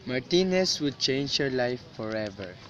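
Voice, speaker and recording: A man with a Filipino accent acting as an easygoing, cheerful young provincial cousin in a student radio drama, with halting English mixed with Tagalog. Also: medium pitch, sing-song, lo-fi sound. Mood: matter-of-fact.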